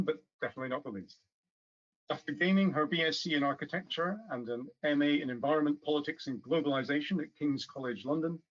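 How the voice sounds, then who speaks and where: Man presenting at an awards event. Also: on the high side. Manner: formal, announcing delivery. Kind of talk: introducing finalists. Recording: dull lo-fi audio.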